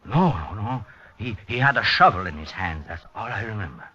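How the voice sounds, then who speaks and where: A man's voice: lowish voice, expressive, a little fast, bright, forceful tone, breathy edge, exaggerated voice. An actor playing an uneasy, cooperative businessman who speaks hesitantly, in 1950s radio drama style. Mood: shaken, haunted. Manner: hesitant, stammering. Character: cooperative male laundry owner, uneasy and haunted. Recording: dull 1950s radio recording.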